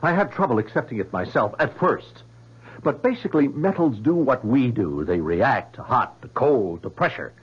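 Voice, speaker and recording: An actor playing a troubled, obsessive scientist in a 1970s radio drama, speaking in a halting, insistent and defensive way. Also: male voice, medium pitch, big pitch swings, slightly rough. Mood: intense, earnest. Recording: muffled vintage radio broadcast.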